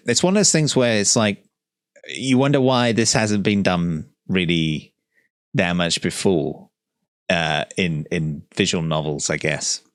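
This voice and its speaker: A male gaming host with a deep voice talks informally about what he is playing. He is enthusiastic and conversational. The sound is dry, close to the microphone. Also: fairly bright tone, no echo. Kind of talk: casual gameplay commentary.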